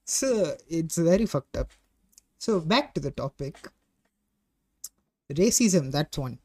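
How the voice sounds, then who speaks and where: A man's voice: a little high, lively intonation, takes it slowly, darkish tone. An eighteen-year-old man with a Malaysian English accent, hosting a casual podcast chat, blunt and swearing freely. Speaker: podcast host.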